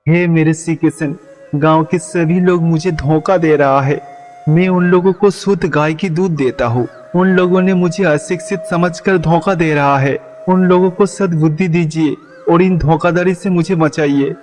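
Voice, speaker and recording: A man's voice: on the high side, steady tone, normal speed, darkish tone, close to an everyday voice. An actor playing a meek, devout and naive village milkman, speaking politely and earnestly in a cartoon story. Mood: pleading prayer. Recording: long reverb, music behind.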